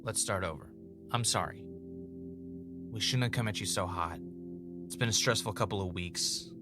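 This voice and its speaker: A man's voice: lowish voice, normal speed, over music, lightly acted. A man playing a former reality show contestant turned podcast host, haunted and defensive, in naturalistic audio drama dialogue. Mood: conciliatory.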